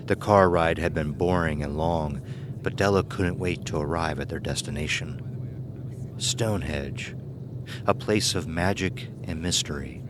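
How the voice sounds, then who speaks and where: A man's voice: a bass voice, unhurried, noisy background. A man narrating and announcing from a script for an audio drama. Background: music.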